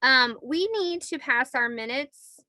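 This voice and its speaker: A woman's voice: high-pitched, animated, slow pace, pushed and bright, dry recording, studio quality. A woman leading an online committee meeting, talking in a semi-formal, conversational way as facilitator. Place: video call.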